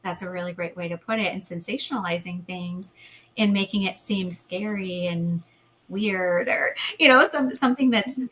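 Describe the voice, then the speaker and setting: A woman with a calm, soothing voice, speaking as a hypnotherapist guest in a casual interview over a video call. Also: on the low side, normal speed, a little hiss or hum.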